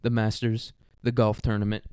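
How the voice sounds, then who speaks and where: A man's voice: lowish voice, flat delivery, moderate pace, darkish tone, smooth, no echo, studio quality. A young American man hosting a solo podcast, rambling casually and comedically in a crude, irreverent manner. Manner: crude, irreverent, often chuckling as he talks.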